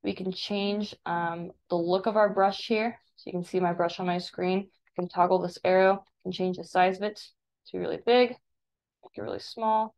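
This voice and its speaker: A woman instructor talking informally through a step-by-step software tutorial as a screen-recording voice-over. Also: fairly low pitch, steady tone, moderate pace.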